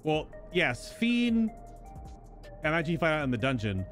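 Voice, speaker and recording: A man's voice: on the high side, sing-song, moderate pace, a bit bright. A man streaming a video game, chatting and musing aloud as he gives live commentary on the story. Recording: music behind the voice. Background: video game music.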